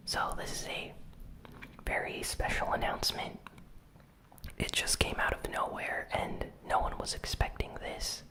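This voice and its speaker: Slow, soft ASMR gaming-news whisperer. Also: rough recording. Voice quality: fully whispered. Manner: slow and soft, with frequent "um" fillers.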